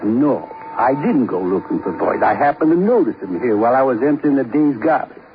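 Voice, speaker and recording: An actor playing a gruff, talkative grill owner with folksy, rambling talk in a 1950s radio drama. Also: male voice, mid-range voice, big pitch swings, fairly quick. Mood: wry, folksy. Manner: rambling, folksy, colloquial drawling phrasing. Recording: muffled, noisy 1950s radio recording.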